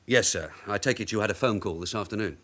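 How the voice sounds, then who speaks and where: An actor playing a shrewd veteran police detective sergeant in a radio drama, measured and deferential while he probes. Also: fairly low pitch, fairly bright tone, lightly acted. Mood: polite, probing. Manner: measured, deferential but probing questioning. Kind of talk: naturalistic radio drama acting.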